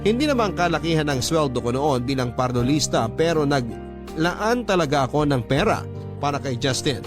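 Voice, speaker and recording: A man's voice: on the high side, very animated, normal speed. A man reading a listener's letter as scripted first-person story narration, as the host of a storytelling show. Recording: music behind the narration.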